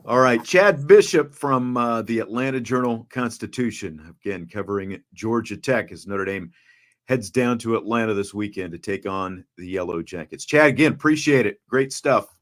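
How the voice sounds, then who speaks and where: A middle-aged man hosting a casual sports talk show over a video call, joking and animated. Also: lowish voice, normal speed. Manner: joking, animated, gestures while talking. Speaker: show host. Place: home studio with framed pictures. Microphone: large studio mic with headphones, video call.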